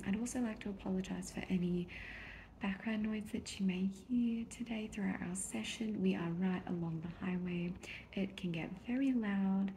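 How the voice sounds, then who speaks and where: Woman, slow pace, mellow soft voice, half-whispered ASMR, gentle therapist roleplay, keyboard typing behind. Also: mid-range voice. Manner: hushed, often slipping into whisper; gentle, reassuring questioning. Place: small indoor room at a desk. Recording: soft background noise, keyboard clicks. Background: computer keyboard typing.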